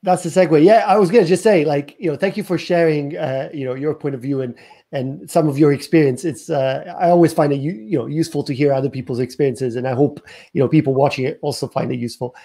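Man in his thirties, mellow slightly high voice, friendly co-host, video call from an office. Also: fairly even intonation, fast talker, creaky. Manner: friendly, hesitant with fillers. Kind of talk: hosting a live roundtable. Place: office room.

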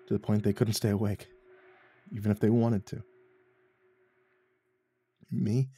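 A man narrating a first-person horror story in a tense, halting way with stammered restarts. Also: lowish voice, dark tone. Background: faint music.

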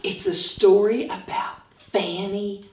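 A middle-aged woman teaching phonics and telling a story to young children, animated and carefully enunciated. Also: medium pitch, takes it slowly. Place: small classroom, indoors. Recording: roomy classroom, mic at a distance.

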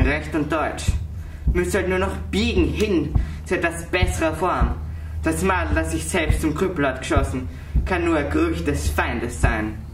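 A sardonic, cynical military superior played by a woman declaims in a stage drama. The voice is fairly low and theatrical. It comes from a distance in an echoey space, with music behind. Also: natural voice. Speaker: performer in a student music theatre piece.

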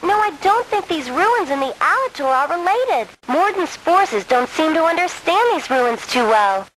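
Very high, pert mission operator. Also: female voice, big pitch swings, moderate pace, a bit bright, a little hiss or hum, full character voice, poor audio. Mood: informative. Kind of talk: video game cutscene acting.

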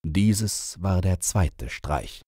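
A man narrating a rhymed children's story as an audiobook, in a deliberate, theatrical storytelling manner. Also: low-pitched, expressive, mellow, breathy edge, no echo, studio quality.

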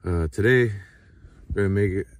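A man in his thirties talking casually to a handheld camera about his travels, chatty and relaxed, full of fillers. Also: fairly low pitch, normal speed, no room sound. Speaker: travel vlogger. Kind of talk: casual vlog talk to camera. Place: inside an SUV and outdoors by a coastal beach. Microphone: handheld phone or camera at arm's length.

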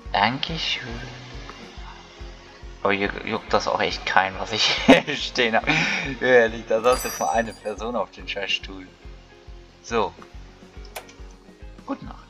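A man's voice: lowish voice, slow, pauses often, a bit bright. A man giving casual let's play commentary, thinking aloud in colloquial speech. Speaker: gaming video creator. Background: game music.

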